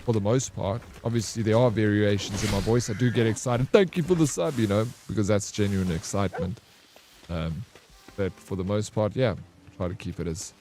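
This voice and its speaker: A young man casually commenting on his gameplay as a streamer, with a flat, monotone delivery. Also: lowish voice, normal speed, slight background noise.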